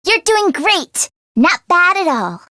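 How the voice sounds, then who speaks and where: A woman voicing an anxious, earnest teenage girl mechanic in a video game, with an expressive, hesitant delivery. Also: extremely high pitch, slow, no room sound, studio quality, full character voice. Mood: cheerful, encouraging. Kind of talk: English video game voice acting, isolated voice lines.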